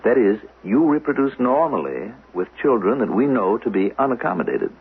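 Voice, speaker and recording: An actor playing a smooth-talking, probing official of a dystopian future in a 1970s radio drama, formal and measured with pointed questions. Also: male voice, mid-range voice, sing-song, full character voice. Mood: politely insistent. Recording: muffled old radio broadcast.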